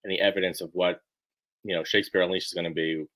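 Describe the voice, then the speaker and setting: A man being interviewed, chatting casually in a halting, rambling way and often restarting his sentences. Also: low voice, level tone, a bit bright, low-quality recording. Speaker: book editor being interviewed.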